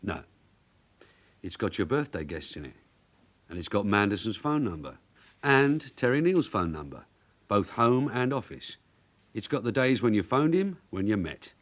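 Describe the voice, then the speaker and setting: An actor playing a shrewd veteran police sergeant, brisk and commanding, in a radio crime drama. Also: male voice, mid-range voice, very animated, natural voice. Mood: cool, pressing.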